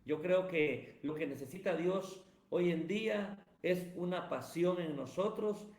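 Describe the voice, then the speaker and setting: Male pastor, high voice, preachy emphatic delivery, roomy echoey sound. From Guatemala. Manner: emphatic, sermon-like cadence. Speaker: pastor and evangelist, guest. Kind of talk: preaching-style interview answers. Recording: roomy echo.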